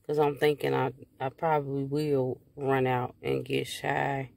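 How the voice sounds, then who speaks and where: A woman in her thirties chatting casually to her phone from inside a parked car, rambling and relaxed with many fillers. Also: rumbling low, unhurried, vocal fry. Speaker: vlogger. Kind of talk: casual car chat to camera. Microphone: phone held at arm's length.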